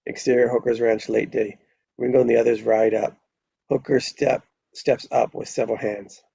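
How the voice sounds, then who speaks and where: A man's voice: medium pitch, little pitch movement, moderate pace, gravelly, mellow, breathy edge, dry recording. A man reading stage directions as narrator at a live screenplay table read, on a dull lo-fi microphone.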